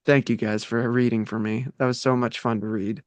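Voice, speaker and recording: A man reads stage directions briskly, slipping in jokes and swearing as he goes. His voice is mid-range and close, and it sounds dry, with no room around it. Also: mid-range voice, level tone, darkish tone, no echo. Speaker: writer reading stage directions. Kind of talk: casual table-read narration.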